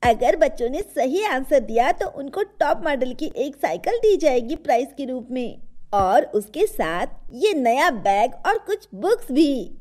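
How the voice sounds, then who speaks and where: An upbeat quiz promoter from a cartoon story, voiced by a woman. The announcements are formal, delivered in a high voice with lively rises and falls. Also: measured pace.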